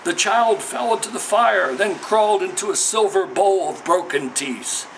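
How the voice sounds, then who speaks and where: A man with a fairly high voice reads poetry aloud. He delivers it in a measured, declamatory way, like a formal literary recital. There is some faint background noise in the recording. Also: gravelly, fairly bright tone. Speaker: poet reading own work. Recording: noisy ambience behind the voice.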